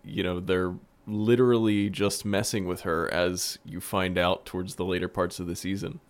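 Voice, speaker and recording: A man's voice: low voice, little pitch movement, moderate pace. A man talking casually in a roundtable interview about creative work. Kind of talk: casual cast interview chat.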